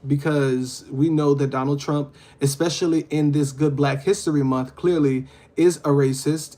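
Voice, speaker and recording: A man from hosting a casual livestream, reading viewer comments aloud and answering them with frequent ums and uhs. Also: a little high. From Louisiana. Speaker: livestream host.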